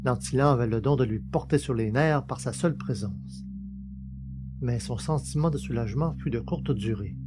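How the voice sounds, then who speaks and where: A man's voice: medium pitch, moderate pace, background noise. A man reading a science-fiction novel as a scripted, dramatic audiobook narration. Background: music.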